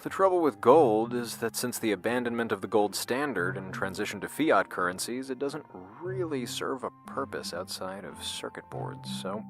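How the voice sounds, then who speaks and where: Serious scientist in an audio drama, deep gravelly voice, deliberate pauses, music behind. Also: male voice. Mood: explaining. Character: grave, secretive male scientist and his questioning double. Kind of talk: scripted audio drama dialogue. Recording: music under the voice.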